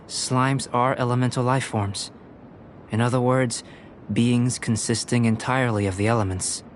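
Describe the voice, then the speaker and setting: A man voicing a calm, detached young alchemist in an anime-style video game, speaking in a measured, precise, professorial way. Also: medium pitch, slight background noise, lightly acted. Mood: composed, musing.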